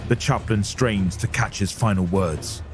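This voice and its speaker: A man's voice: fairly low pitch, a little slow. A man narrating a scripted audio story in a dramatic style. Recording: clear music bed under voice. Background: dramatic music.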